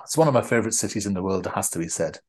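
A man's voice: fairly low pitch, expressive, very fast, a little mellow. A man from the of England, hosting a podcast over a video call and asking chatty, rambling questions full of restarts. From northeast England. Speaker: podcast host. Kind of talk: casual interview.

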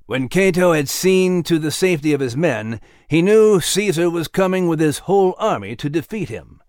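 A man voicing a gentle, grave male scholar of the ancient world, measured and reflective, in an audio story. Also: on the high side, animated, dry recording, clean studio sound, voice pushed up, natural voice. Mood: solemn.

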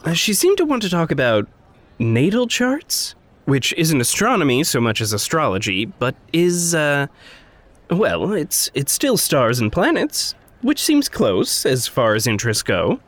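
A man's voice: on the high side, huge pitch swings, unhurried, dry recording, exaggerated voice. An actor playing an awkward, earnest, reserved young man in a fiction podcast, speaking naturally with hesitant, careful, formal wording. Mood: uncertain, defensive.

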